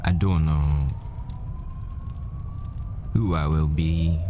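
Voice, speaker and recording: A man playing a tender, desperate boyfriend, a secretive professor, in an intimate, halting, wistful audio roleplay monologue. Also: extremely low pitch, fairly even intonation, dark tone, a little hiss or hum, heavily acted. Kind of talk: intimate ASMR-style dramatic roleplay monologue. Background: music.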